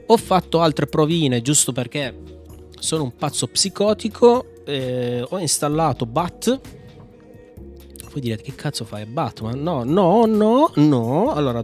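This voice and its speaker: A man giving a casual screencast tutorial, thinking aloud in a jokey, rambling, self-mocking way. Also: mid-range voice. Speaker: tech YouTuber presenting a software tutorial.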